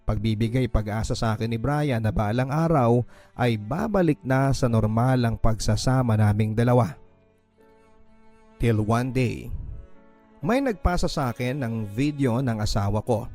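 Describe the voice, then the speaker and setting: A man with a Filipino accent hosting radio, reading listener letters and giving advice in an expressive, emotive storytelling manner. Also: mid-range voice, a little slow. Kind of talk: dramatic letter narration and advice. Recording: voice over background music. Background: music.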